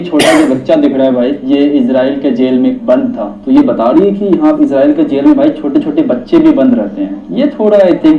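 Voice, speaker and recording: A man in his twenties with an Indian accent, chatting casually as a travel vlogger who walks outdoors, mixing in broken English and calling viewers brother. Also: mid-range voice. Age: 20s. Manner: chatty, says "bhai" often, switches between Hindi and broken English. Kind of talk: casual travel vlog narration. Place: outdoors in streets. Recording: echoey, distant, dull with faint noise.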